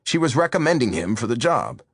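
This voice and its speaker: A man's voice: medium pitch, big pitch swings, brisk, slightly rough, no echo, studio quality, voice pushed up. A man voicing a younger, easygoing boyfriend who pleads and soothes in a storybook reading. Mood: placating.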